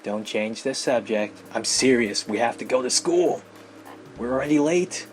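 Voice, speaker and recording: A man's voice: medium pitch, animated, normal speed, faint music behind, close to an everyday voice. Man acting an exasperated teenage older brother. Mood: impatient. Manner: grumbling, protesting. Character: exasperated, sarcastic high school older brother.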